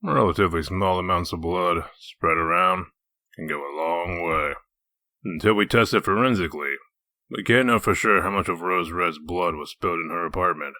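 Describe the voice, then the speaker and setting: Man acting a deep, forceful detective. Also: moderate pace, no echo, studio quality. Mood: calm, firm. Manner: matter-of-fact, controlled. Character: gruff, calm, methodical detective. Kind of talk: comic dub acting.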